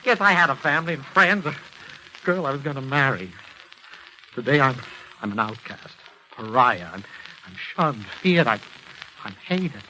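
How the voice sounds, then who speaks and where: Haunted, tormented male patient, halting and intense, vintage radio melodrama, noisy old recording. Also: mid-range voice, animated, pushed and bright. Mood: agitated, anguished. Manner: intense, halting, agonized delivery. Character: haunted, anguished man cursed to speak the truth. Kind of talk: 1940s radio acting.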